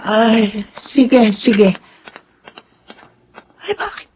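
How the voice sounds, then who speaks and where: A woman's voice: a little high, drawn-out words, a little mellow, dull audio, a little hiss or hum. An actress with a Filipino accent playing a watchful, inquisitive, motherly middle-aged woman in a radio drama. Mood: suspicious, concerned.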